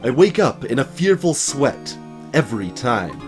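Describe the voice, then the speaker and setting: A man voicing a haunted, solemn game character confessing a dark memory, grave, halting and dramatic. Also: medium pitch, sing-song, music in the background. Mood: haunted, hesitant. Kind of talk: dramatic voiced reading of game dialogue.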